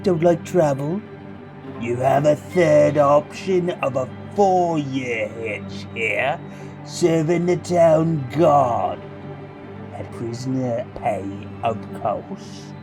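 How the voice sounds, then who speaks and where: A man's voice: mid-range voice, expressive, takes it slowly, over music, pitched above the performer's own voice. A man voicing a cruel, darkly armored noble in an audio drama, with a pompous, mock-gracious and menacing delivery. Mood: coldly menacing. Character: darkly armored noble, sneering, bellowing, cruel male authority. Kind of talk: scripted audio drama acting.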